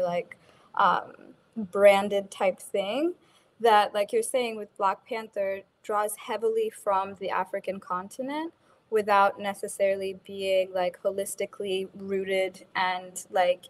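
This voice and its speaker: Woman, from Kenya, interview guest, casual spoken answers, frequent fillers and restarts. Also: mid-range voice. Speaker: guest speaker.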